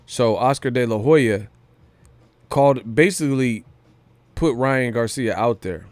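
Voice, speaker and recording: A man's voice: medium pitch, measured pace, darkish tone, dry recording. A man hosting a boxing news livestream, talking casually in slang with some swearing and repeating phrases for emphasis. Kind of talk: casual live-stream commentary.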